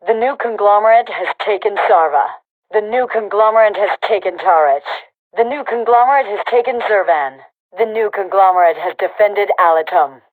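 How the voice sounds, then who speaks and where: An actress playing a brisk female rebel army commander in a video game, giving clipped, authoritative battle announcements through a radio filter. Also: mid-range voice. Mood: businesslike. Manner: clipped, authoritative military delivery. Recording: tinny, band-limited radio comms sound.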